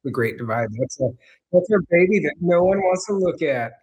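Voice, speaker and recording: A man speaks as a guest in a casual panel talk. His voice is a little high, and he comes across as hesitant and self-deprecating. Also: animated, fairly quick, darkish tone, breathy edge, low-quality recording. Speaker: TV writer guest.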